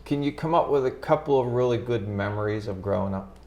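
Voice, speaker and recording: A man's voice: mid-range voice, a little fast, warm tone, highs cut off. A man hosting a local TV interview, asking friendly conversational questions. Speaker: TV interview host. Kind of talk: casual interview.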